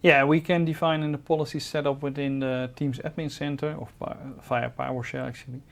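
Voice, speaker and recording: Creaky-voiced man explaining technical details. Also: on the high side, level tone, a little fast.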